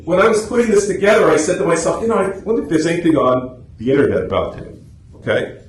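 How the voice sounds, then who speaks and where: A man's voice: fairly high pitch, expressive, fast. A man giving a chatty, anecdotal, informal presentation to a club meeting. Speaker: club presenter and radio script writer. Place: meeting room, speaking to a small audience. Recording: echoey room with faint noise.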